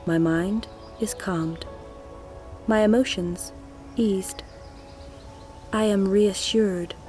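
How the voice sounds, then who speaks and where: A woman's voice: mid-range voice, fairly even intonation, extremely slow, mellow. Woman narrating prose over music. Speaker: actor reading a nominated story.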